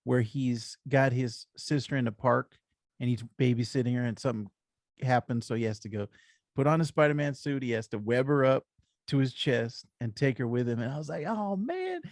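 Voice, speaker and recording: A man's voice: medium pitch, expressive, moderate pace, dry recording, clean studio sound. A man with an American accent chatting in an easygoing, casual way as a podcast co-host. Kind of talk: casual chat.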